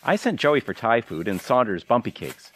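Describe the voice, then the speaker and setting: Older husband, acted by a man, mid-pitched, wildly animated, wry and flustered comedy schemer. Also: moderate pace, husky. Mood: reassuring. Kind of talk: audio comedy acting.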